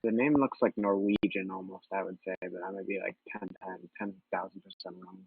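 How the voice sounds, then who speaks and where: A young man from Minnesota co-streaming a game, excitable and jokey, swearing at mishaps. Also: deep voice, brisk, husky, no room sound. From USA, Minnesota. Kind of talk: casual gaming stream chat. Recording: tinny phone-like voice chat.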